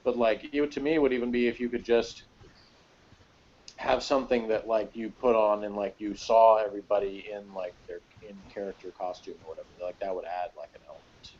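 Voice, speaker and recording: A man's voice: lowish voice, flat delivery, fairly quick, darkish tone, muffled recording. A man chatting casually as a review co-host, rambling and hesitant, with lots of informal filler phrases. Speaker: podcast co-host reviewing a TV episode.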